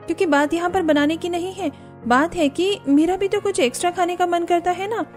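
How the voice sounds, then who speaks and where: A woman's voice: very high-pitched, a little fast, faint music behind, pitched above the performer's own voice. A woman voicing a weary young Indian daughter-in-law in a cartoon story, complaining plaintively. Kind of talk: Hindi cartoon story dialogue.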